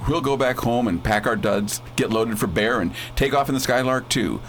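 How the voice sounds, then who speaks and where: A man's voice: medium pitch, moderate pace, a bit bright, a little hiss or hum, close to an everyday voice. A man playing a breezy, decisive space adventurer who leads in slangy, commanding talk in a radio drama. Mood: firm.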